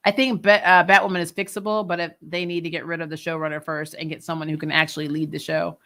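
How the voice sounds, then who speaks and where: A woman's voice: low-pitched, little pitch movement, fast, pushed and bright. A woman hosting a TV recap, chatting casually and bluntly with strong, exasperated, sweary opinions. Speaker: host of a TV recap show. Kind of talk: casual opinionated fan commentary, replying to live comments.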